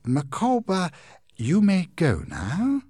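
A sly, threatening male clerk who drags out every word with slow, hissing malice, put on by a man reading a storybook. The voice sits higher and louder than a plain speaking voice, with a husky grain. The sound is dry and close. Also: wildly swooping pitch, no room sound, exaggerated voice. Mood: impatient. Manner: slow, drawn-out hissing menace.

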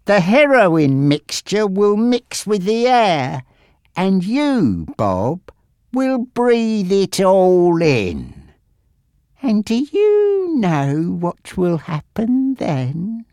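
A narrator voicing a menacing, taunting male gangster boss in an audiobook story, with a threatening, drawn-out delivery. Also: medium pitch, very animated, no echo, clean studio sound, pitched above the performer's own voice. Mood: cold threatening.

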